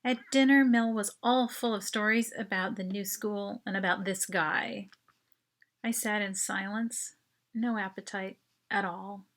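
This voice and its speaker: A woman reading a first-person short story as its author, in an expressive, dramatic narration style. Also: mid-range voice, unhurried.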